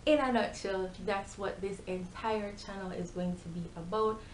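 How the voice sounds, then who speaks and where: A woman's voice: mid-range voice, fairly even intonation, moderate pace. A young adult woman with a Jamaican accent, chatting casually and animatedly to camera as a vlogger. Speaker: YouTube vlogger. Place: small bare-walled room indoors. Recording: echoey room with background hiss.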